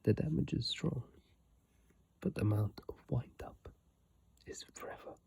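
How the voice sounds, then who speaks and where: Male ASMR reader: hushed, husky, gravelly at the edges, unhurried and breathy. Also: mid-range voice, dry recording. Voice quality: breathy, husky, mostly whispered. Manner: slow, hushed, drawn-out delivery. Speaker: ASMR game content creator. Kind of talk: ASMR reading and game commentary. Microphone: iPhone mic.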